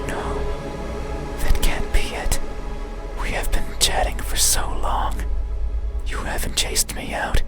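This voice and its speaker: Slow whispering man as a lonely night creature. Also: close to an everyday voice. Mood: pleading, eerie. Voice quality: breathy, unvoiced whisper. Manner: slow, hushed, halting, brooding phrasing. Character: lonely, yearning nocturnal creature, melancholy and menacing. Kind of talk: whispered ASMR horror monologue, visual novel. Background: loud eerie music.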